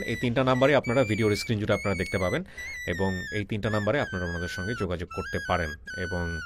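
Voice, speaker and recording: A man with a Bangladeshi accent hosting an emotive interview show, often repeating phrases for emphasis. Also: mid-range voice. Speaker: radio show host.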